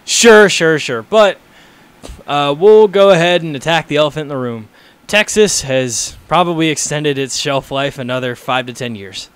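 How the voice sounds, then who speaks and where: A man's voice: fairly high pitch, normal speed, fairly bright tone, smooth. A young man co-hosting a casual sports podcast, teasing his partner and swearing. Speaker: podcast co-host.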